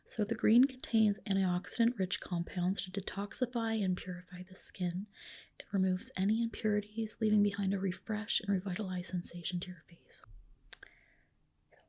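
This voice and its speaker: A woman whispering a soothing ASMR roleplay as a reassuring clinician, in a soft, breathy voice. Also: mid-range voice, steady tone, normal speed.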